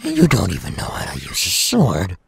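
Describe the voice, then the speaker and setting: Male demon villain, audio roleplay: menacing and taunting, gravelly, swinging widely in pitch, recorded close and dry. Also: huge pitch swings, fairly bright tone, no room sound, full character voice. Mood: scornful. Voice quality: gravelly, raspy. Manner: slow, taunting, drawn-out delivery with wide swings. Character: menacing, sadistic male demon villain craving human flesh. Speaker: voice actor.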